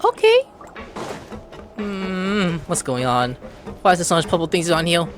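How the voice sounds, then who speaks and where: A man gives casual, playful gameplay commentary. His pitch swings in a lively way as he reacts and reads dialogue aloud, stumbling a little. Soft game music sits behind him. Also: unhurried. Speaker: gaming video host.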